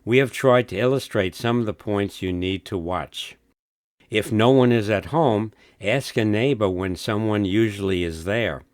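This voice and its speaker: A man narrating a scripted instructional film in a measured, instructive manner. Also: lowish voice, no echo, studio quality.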